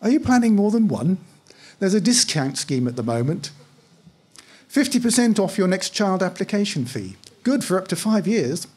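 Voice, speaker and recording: Man reading as husky, officious female official. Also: high voice, very animated, normal speed, a little mellow, pitched above the performer's own voice, full character voice. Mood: brisk, businesslike. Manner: polite, scripted customer-service sympathy. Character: politely apologetic, officious female parenthood officer.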